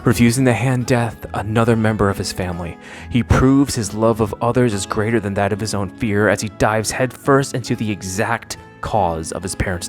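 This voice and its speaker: A man delivers scripted video-essay narration in a fairly low voice. Faint music plays underneath as he tells the story.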